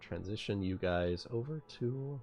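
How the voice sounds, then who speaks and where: A man casually narrating as game master of a tabletop roleplaying game, thinking aloud in a halting way. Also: fairly low pitch, lively intonation, over music.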